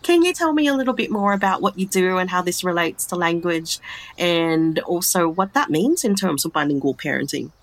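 A woman hosting a podcast, moving between scripted narration and interview questions in a calm, measured, earnest way. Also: fairly low pitch. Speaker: podcast host.

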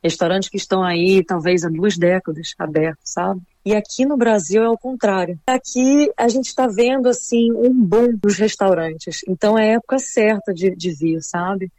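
A woman from Brazil speaks as an interview guest, reflective and emotional, leaning on small fillers as she thinks. Her voice sits at an ordinary middle pitch. The recording is dry and close, with no room sound. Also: moderate pace, hardly any pauses, mellow, dry recording. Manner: reflective, emotional, many fillers like "assim" and "sabe". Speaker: interviewee, returning immigrant. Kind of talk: casual conversational interview.

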